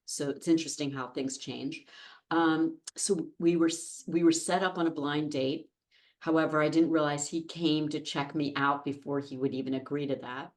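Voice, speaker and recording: A woman's voice: sits low, fairly even intonation, normal speed, a little hiss or hum. An American woman in her fifties chatting as a podcast guest, telling anecdotes in a self-deprecating way. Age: 50s. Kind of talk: casual anecdotal interview conversation. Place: podcast studio.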